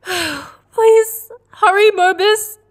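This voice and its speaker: A young, emotional female character acted by a woman in a sci-fi audio drama. Her voice is extremely high and she speaks slowly, with earnest pleading. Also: heavily acted. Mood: pleading, anxious. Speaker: voice actor.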